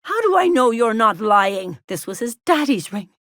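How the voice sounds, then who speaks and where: A woman's voice: a little high, big pitch swings, moderate pace, a bit bright, no echo, studio quality. An actress playing a doting, anxious middle-aged frontier mother in a video game, with a melodramatic, folksy manner. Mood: hurt, disbelieving. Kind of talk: video game character dialogue.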